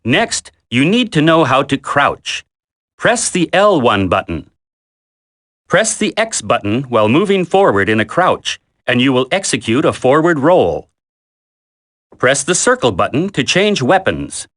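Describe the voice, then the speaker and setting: An actor playing a military instructor in a video game tutorial, coaching a recruit in a firm, deliberate, commanding way. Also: male voice, medium pitch, big pitch swings, fairly bright tone. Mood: instructive, firm.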